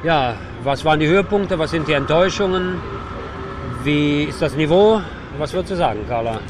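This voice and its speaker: Male radio host, a bit higher-pitched, casual interviewing style, music clearly audible behind. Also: lively intonation, brisk.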